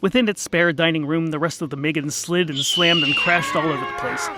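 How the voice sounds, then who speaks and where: A man's voice: on the high side, fairly quick, over music. A man narrating a scripted comic fantasy story in a wry, emphatic storytelling manner.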